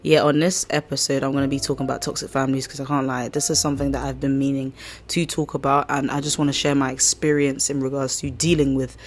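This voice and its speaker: A woman with a croaky, hoarse voice hosting a casual podcast, rambling and slangy as she chats. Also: a bass voice, a little mellow. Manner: chatty, slangy, rambling storyteller. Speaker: podcast host. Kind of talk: casual chat.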